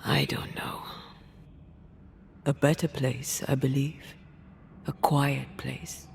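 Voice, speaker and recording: A woman playing a tender, resolute ghostly lover in a video game cutscene, hushed and breathy, close to a whisper. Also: rumbling low, steady tone, slow, full character voice. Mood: sorrowful, gentle. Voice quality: breathy, creaky near-whisper.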